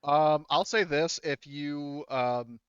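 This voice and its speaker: A man's voice: fairly high pitch, slow, smooth, no room sound, highs cut off. A man refereeing a tabletop roleplaying game as game master, talking casually with swearing and frequent laughter as he explains the rules. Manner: animated, laughs often while explaining rules.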